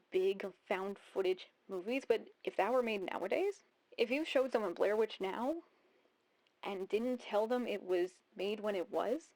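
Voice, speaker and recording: Casual woman thinking aloud. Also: mid-range voice. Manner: rambling, thinking aloud, repeating phrases. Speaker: YouTube host. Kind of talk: casual video essay commentary.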